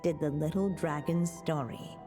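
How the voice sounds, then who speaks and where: A woman playing a solemn, tender witch mother, narrating a fairy tale in a video game in a measured, gentle way. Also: low-pitched, in a large hall, music in the background. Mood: solemn storytelling.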